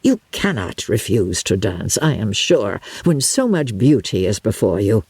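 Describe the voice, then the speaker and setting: A woman playing a genial, talkative older gentleman with an effusive, flowery, gallant manner in an audiobook. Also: rumbling low, big pitch swings, a little slow, breathy edge, no room sound, voice pushed down, exaggerated voice. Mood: gallant, coaxing.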